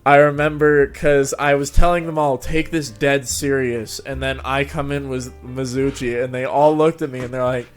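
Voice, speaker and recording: Guy hosting a casual chat, animated storyteller who laughs easily, music in the background. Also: medium pitch, moderate pace, hardly any pauses. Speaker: host of a fan fiction narration channel.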